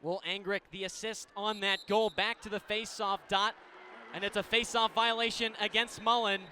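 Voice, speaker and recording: American man, very high-pitched, forceful sports announcer, clipped live play-by-play, faint stadium noise. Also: moderate pace. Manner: clipped descriptive phrases, bursts into excited calls. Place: indoor stadium broadcast position. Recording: live radio with steady venue noise.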